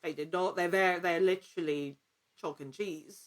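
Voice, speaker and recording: A woman speaking as a podcast guest in a casual interview, telling anecdotes in an animated, conversational way and acting out little quotes. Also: on the low side, moderate pace. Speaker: podcast guest, actor and producer in actual play.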